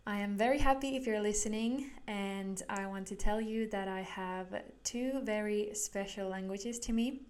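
A woman's voice: medium pitch, normal speed, few pauses, darkish tone. A woman in her twenties from Finland with a Finnish accent, hosting a solo podcast in an earnest way, often restarting as she searches for words. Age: 20s. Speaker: podcast host.

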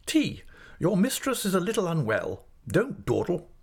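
Man putting on an imperious older woman, pitched high. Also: huge pitch swings, measured pace, creaky, breathy edge, exaggerated voice. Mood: commanding. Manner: emphatic, peremptory, declarative. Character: imperious, brusque older woman, indignant great-aunt. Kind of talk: audiobook character voice.

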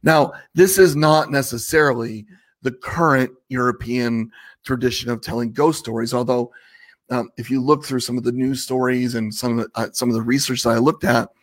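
A man with a slightly raspy voice hosting a casual livestream, rambling conversationally and asking viewers questions. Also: mid-range voice, fairly even intonation, normal speed. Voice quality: a little raspy. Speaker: livestream host.

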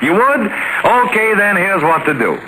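High-voiced male 1940s radio announcer. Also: very animated, normal speed. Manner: chummy, emphatic salesmanship. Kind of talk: 1940s radio serial announcing and sponsor pitch. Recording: noisy old 1940s radio recording.

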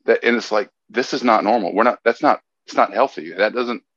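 Man, very deep voice, halting, earnest, dull lo-fi sound. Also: a bit bright, no room sound. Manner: halting, restarts often, earnest. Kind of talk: casual chat.

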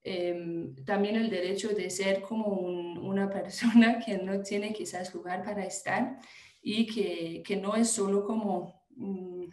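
A woman's voice: medium pitch, steady tone, slow pace, dark tone, echoey room. Woman speaking careful Spanish. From Denmark. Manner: hesitant, many fillers and restarts. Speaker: guest activist. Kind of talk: conversational interview answer.

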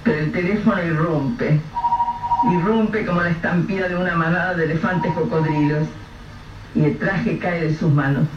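Echoey, measured radio-drama narrator, male. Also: fairly high pitch, fairly even intonation. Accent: Rioplatense Spanish. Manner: measured, vivid storytelling. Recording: echoey, distant, dull, faint hiss.

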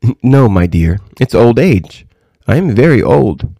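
A man voicing a very old, kindly and mysterious great-great-grandmother in a gentle, patient, measured manner. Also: medium pitch, big pitch swings, gravelly, no room sound, clean studio sound, pitched above the performer's own voice, exaggerated voice. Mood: kindly, amused.

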